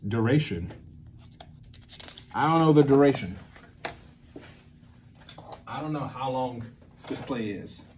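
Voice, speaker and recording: A man's voice: mid-range voice, expressive, slow, long pauses, darkish tone, a little hiss or hum. A man giving an informal whiteboard lesson, thinking aloud in a rambling way and often interrupting himself. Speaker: creative methods teacher and playwright.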